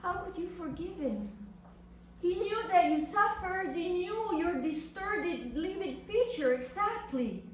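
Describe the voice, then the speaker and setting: In a very echoey room, away from the mic, a woman performs an anguished young daughter in a literary stage monologue. The voice is high and slow, with theatrical, impassioned weight on each phrase as she addresses her suffering mother. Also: animated, full character voice. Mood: anguished, remorseful. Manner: impassioned, deliberate, theatrical delivery with long pauses. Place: reverberant indoor venue at a live reading. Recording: echoey room, distant mic, faint noise.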